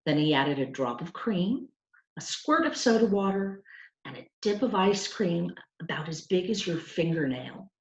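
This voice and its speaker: Lady reading a kids book out loud, lowish voice, really animated, bit dull echoey home recording. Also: a little slow. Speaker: storyteller reading a children's novel aloud. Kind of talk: expressive scripted audiobook narration for children.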